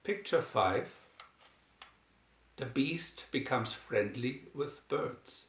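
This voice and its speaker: A man reading scripted storybook captions in a deliberate, announcing manner. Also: medium pitch, expressive. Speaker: narrator reading a picture-reel booklet. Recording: dull, roomy sound.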